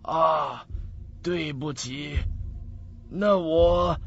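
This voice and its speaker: An actor playing a sinister old man who feigns kindness in a radio drama, husky and drawn-out. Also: on the high side, exaggerated voice. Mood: falsely kind. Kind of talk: Mandarin radio drama acting. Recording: dull lo-fi audio.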